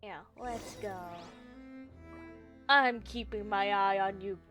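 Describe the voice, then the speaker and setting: A woman game streamer with a medium-pitched voice and faint music behind her. She talks informally in slangy, halting reactions to what is on screen. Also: fairly even intonation, fairly bright tone. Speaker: game livestreamer. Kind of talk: casual reactions and gaming commentary. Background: faint game and background music.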